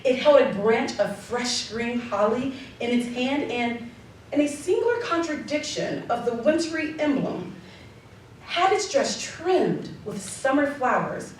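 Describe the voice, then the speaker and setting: A woman with a fairly high voice gives dramatic scripted narration for a live radio play. She is emphatic and theatrical, weighting key words. The sound is distant and strongly echoing, with faint noise. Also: expressive. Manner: theatrical, emphatic, deliberate word stress. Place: live community theatre stage. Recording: distant mic, very echoey live hall, slight noise.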